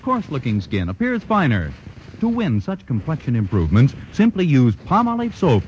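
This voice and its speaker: A male announcer giving an emphatic sales pitch in a 1940s radio broadcast. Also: huge pitch swings, normal speed, darkish tone. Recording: lo-fi old radio broadcast with faint hiss.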